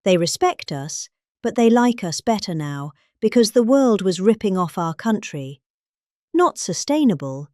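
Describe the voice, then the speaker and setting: A middle-aged British woman reads out a political speech as a performance. Her pitch swings up and down with exaggerated emphasis on key words. The recording is dry and close, with no room sound. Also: big pitch swings, a little slow, a little mellow, no echo. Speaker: reader. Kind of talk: dramatic reading of a political speech.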